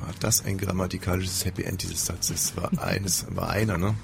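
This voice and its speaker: A man's voice: low-pitched, steady tone, normal speed, gravelly, noisy background. A man hosting a radio show, teasing his guest in an ironic, playful way. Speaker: radio host.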